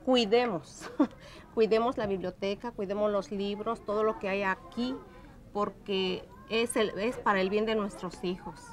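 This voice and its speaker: A woman's voice: mid-range voice, steady tone, normal speed. A woman making an earnest interview appeal, checking for agreement. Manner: earnest, seeks agreement with tag questions. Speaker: parent and library patron interviewee. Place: indoors in a library. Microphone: lapel microphone.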